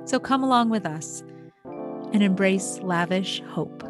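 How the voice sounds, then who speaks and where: Woman hosting a podcast, effusive and deliberate. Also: mid-range voice, expressive, over music. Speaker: podcast host. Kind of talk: warm podcast hosting, introductions.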